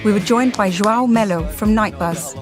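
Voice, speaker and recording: A woman in a mid-range voice hosts the show and cooks for her guest. She sounds friendly and familiar with him, and music plays clearly under her voice. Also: mid-range voice, moderate pace. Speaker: host and chef. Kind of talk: hosted interview with scripted intro.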